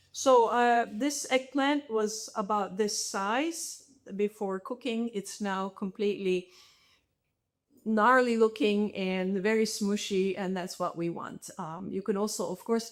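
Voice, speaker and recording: A woman from Turkey talks through a cooking demonstration from her home kitchen. She speaks slowly and in a friendly, hesitant way, often pausing on "um". It comes through a video call. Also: medium pitch. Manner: hesitant, many ums, friendly. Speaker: home cook presenter.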